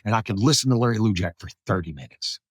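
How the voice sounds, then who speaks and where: Middle-aged male radio-style host from South Alabama, lively pitch movement, casual and anecdotal, dry recording. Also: fast talker, slightly rough, no echo. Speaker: podcast host, radio broadcaster. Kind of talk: casual conversational interview.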